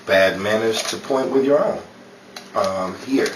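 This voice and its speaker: A man's voice: on the low side, a little slow, close to an everyday voice. Flirtatious, self-assured Parisian charmer, played by a man. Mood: playfully flirting. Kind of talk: old-time radio romantic comedy acting. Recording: roomy, distant, noisy audience recording.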